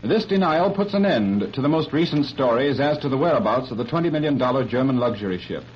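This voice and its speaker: A man reading a 1930s radio newscast and station announcements in a brisk announcer delivery. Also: medium pitch, expressive. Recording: dull old radio broadcast with faint hiss.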